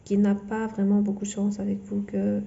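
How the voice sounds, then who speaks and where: A woman whose first language is not French, giving a tarot reading in a halting way full of fillers and restarts. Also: medium pitch, monotone, gravelly, mellow, highs cut off. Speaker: tarot reader.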